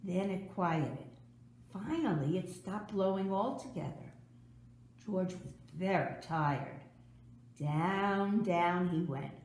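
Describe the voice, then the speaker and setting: A woman reading a children's storybook aloud expressively, with dramatic emphasis and drawn-out words. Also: lowish voice, animated, a little mellow, reverberant. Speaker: storybook reader.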